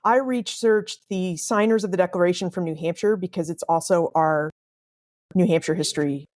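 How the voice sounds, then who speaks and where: A woman from New England with a mild northeastern US accent, chatting brightly as a guest historian on a podcast livestream. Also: lowish voice, fairly even intonation, moderate pace. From New England, USA. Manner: bubbly, chatty. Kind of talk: casual livestream chat.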